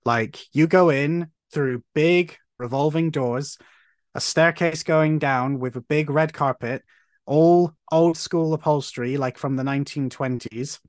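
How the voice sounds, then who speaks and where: A man's voice: a little high, a little slow, no room sound, studio quality. A man, telling stories eagerly as a podcast guest. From Cornwall, England. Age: 30s. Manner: animated storytelling, frequent restarts. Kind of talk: casual conversation.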